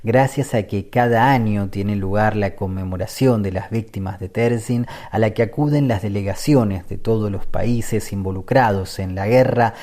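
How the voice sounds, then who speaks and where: Male podcast host, deep warm read, calm scripted narration. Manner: measured, read-aloud delivery.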